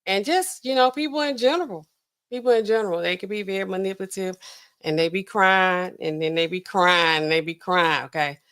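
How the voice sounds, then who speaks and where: A woman's voice: medium pitch, fairly quick, clean tone. Sassy chatty woman in her forties. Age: 40s. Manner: chatty, sassy, animated swings between loud and hushed. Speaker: livestream host. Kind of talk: casual livestream chat reading viewer comments.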